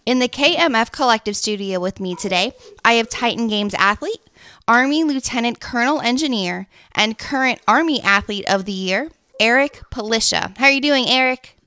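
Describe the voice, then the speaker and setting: American lady hosting a podcast, super clear bright voice, chatty and effusive. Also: a little high, moderate pace, dry recording. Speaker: podcast host, interviewer. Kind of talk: casual interview.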